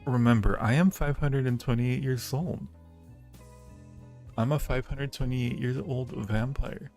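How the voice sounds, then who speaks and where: Playful gravelly-voiced man teasing his chat. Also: mid-range voice, fairly even intonation, unhurried, a little mellow, faint music behind. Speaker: audio roleplay creator hosting a live Q&A. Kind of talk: casual live-stream chat, reading viewer questions.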